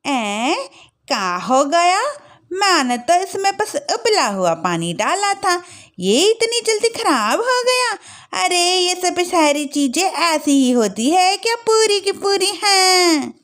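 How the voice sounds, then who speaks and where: A woman voicing a middle-aged, rustic mother-in-law in a story cartoon, with a rural North Indian Hindi accent and folksy, drawn-out grumbling and exclamations. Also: extremely high pitch, very animated, fairly bright tone, voice pushed up, full character voice. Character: dramatic rustic village mother-in-law, complaining and easily amazed. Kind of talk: moral-story cartoon voice acting.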